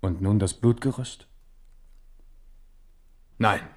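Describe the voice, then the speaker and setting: A man's voice: lowish voice, slow. An actor in a German radio drama playing a proud, impulsive, war-weary king. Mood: weary, reflective.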